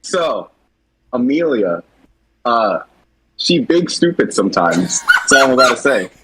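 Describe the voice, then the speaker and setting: A man chatting casually about a character in an informal, halting way. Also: medium pitch, big pitch swings, noisy background. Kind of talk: casual chat, character discussion.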